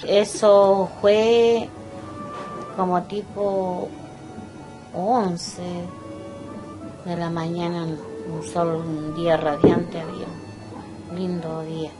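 An older Chilean woman recalling her life slowly and haltingly, pausing often to remember. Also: on the low side, steady tone, over loud music, rough recording. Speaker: interviewee. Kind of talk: personal recollection. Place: indoors, small room by a window.

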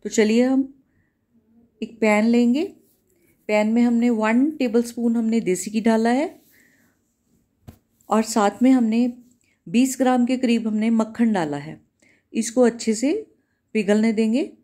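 A woman cooking-channel host, giving a calm, step-by-step recipe voice-over in a home kitchen. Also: a little high, measured pace, long pauses. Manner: calm, instructive, repeats steps.

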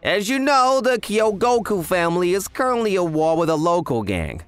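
A man's voice: high-pitched, very animated, moderate pace, clean tone, no room sound, faint music behind. An actor playing a cocky, chatty young male yakuza who narrates in a scripted anime dub. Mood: cocky, upbeat.

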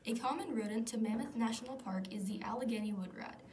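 A woman's voice: fairly high pitch, fast talker, a little mellow, far from the mic. A teenage girl narrating a school project, reading aloud steadily. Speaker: student presenter.

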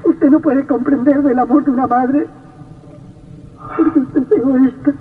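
A woman's voice: high voice, steady tone, a little slow, a little hiss or hum. An actress playing a weary, sorrowful mother in vintage radio melodrama, with a plaintive delivery. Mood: bitter, tearful. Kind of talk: vintage radio drama acting. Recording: tinny, distant old radio.

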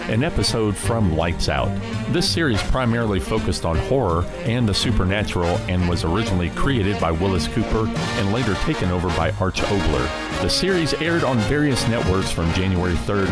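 A male radio host reads scripted introductions. His voice is fairly low, and music plays prominently behind him. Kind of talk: scripted narration.

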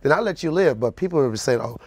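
A man's voice: medium pitch, lively intonation, fast talker, dry recording. A man co-hosting a studio talk panel, brash and hyped in casual chat. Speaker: co-host. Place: studio set.

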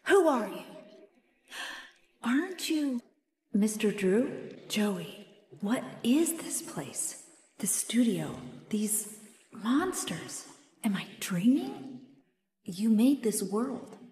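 A woman playing an anxious but plucky, kind-hearted young animator in a horror video game, expressive and often talking to herself. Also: a little high, extremely slow, pauses often, big-room sound, full character voice. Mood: uneasy, searching. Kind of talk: dramatic horror game voice acting.

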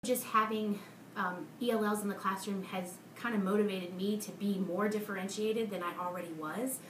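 A woman's voice: mid-range voice, fairly even intonation, normal speed, a little hiss or hum. Female teacher, reflective and hesitant, echoey room. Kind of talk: casual interview testimony.

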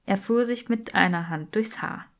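A woman's voice: medium pitch, normal speed, vocal fry. A woman reading scripted fiction as an audiobook narrator. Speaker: audiobook reader.

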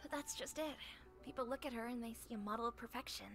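A woman's voice: fairly high pitch, fast, music in the background, close to an everyday voice. A woman playing a friendly, chatty owner of a humble eatery in a video game, with an easygoing, confiding, neighborly manner.

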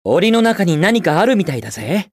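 A man's voice: sits high, very animated, brisk, no room sound, studio quality, heavily acted. A man voicing a cocky, carefree young hedgehog hero in a video game, with breezy, confident, slangy delivery. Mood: explanatory.

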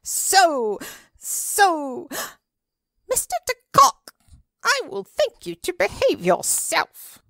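An excitable, mischievous Frenchman in a storybook reading, acted by a woman. He is florid and theatrical, with pitch that leaps high and swoops about. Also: drawn-out words, vocal fry, dry recording, studio quality, exaggerated voice. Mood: excited, blurting. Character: excitable tall Frenchman, mischievous dinner guest with flourishes.

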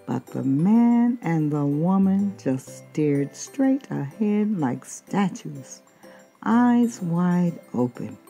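A woman's voice: on the low side, very animated, extremely slow, mellow, soft background music. A woman reading a children's picture book aloud expressively, drawing out key words. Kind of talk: storybook read-aloud.

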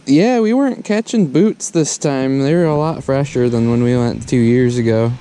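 A man's voice: medium pitch, normal speed. A man vlogging while wading in a river, chatting casually and cheering when the fish bite, recorded on a head-mounted camera. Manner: excited, chatty, bursts of cheering. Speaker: angler vlogger. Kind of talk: casual narration while fishing. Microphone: head-mounted action camera. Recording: noisy outdoor action camera audio.

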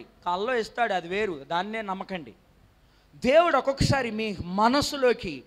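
A man in his thirties preaching a sermon from a church stage into a handheld microphone, animated and quizzing the congregation, sometimes rising to a shout. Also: extremely high pitch. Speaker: pastor.

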